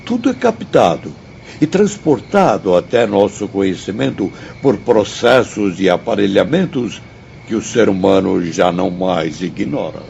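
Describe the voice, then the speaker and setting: Gravelly, solemn spirit teacher, Brazilian accent. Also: male voice, lowish voice, animated, a little mellow, exaggerated voice. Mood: solemn, didactic. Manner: formal, measured, didactic. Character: learned, courteous male spirit teacher, solemn and kindly. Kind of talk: radio drama acting. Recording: dull audio, faint noise, night crickets.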